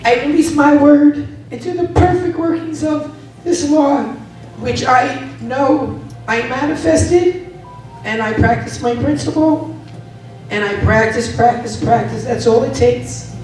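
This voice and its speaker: Hoarse lady praying, real high voice, kinda far from the mic, big echo. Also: measured pace, a little mellow, faint music behind. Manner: earnest, effusive gratitude. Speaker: church member leading a prayer. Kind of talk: spoken affirmative prayer. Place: church sanctuary. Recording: echoey room, distant mic.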